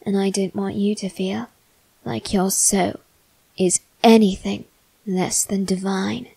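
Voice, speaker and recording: A woman voicing a polite, coaxing young male demon in an ASMR roleplay aimed at the listener, speaking softly and courteously. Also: medium pitch, level tone, vocal fry, breathy edge, no echo, full character voice. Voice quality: soft, measured and courteous.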